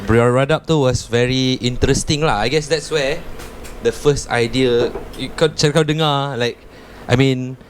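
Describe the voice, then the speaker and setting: A man's voice: medium pitch, normal speed, slight background noise. A man, talking in casual chat, easygoing and slipping Malay words into his English. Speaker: band member.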